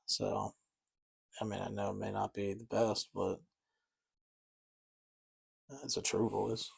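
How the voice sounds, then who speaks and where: A man's voice: lowish voice, level tone, takes it slowly, creaky, slightly rough. A man chatting casually and rambling a bit as he paints miniatures, easygoing and self-deprecating. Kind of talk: casual chat while painting.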